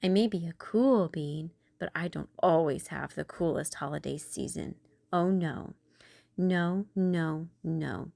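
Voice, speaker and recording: A woman voicing an anxious, self-doubting cool bean in a children's storybook, telling the tale with dramatic, expressive delivery. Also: sits low, slow, creaky, dry recording, exaggerated voice. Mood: glum, reluctant. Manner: dramatic, animated, sighing.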